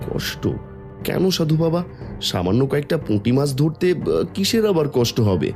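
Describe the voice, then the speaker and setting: A man acts a poor, devoted village father in a cartoon tale. He sounds earnest and humble, with a mellow tone and animated pitch movement over faint music. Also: moderate pace. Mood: worried. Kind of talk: Bengali cartoon folk-tale voice acting.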